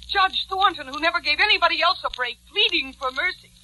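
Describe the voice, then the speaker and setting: A hard, vengeful woman in an old radio thriller. Her high voice is piercingly forceful, biting and mocking. Faint music sits in the 1940s recording. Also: fairly quick, slight background noise, heavily acted, rough recording. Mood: scornful, vengeful. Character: cold, scornful, vengeful female double-crosser.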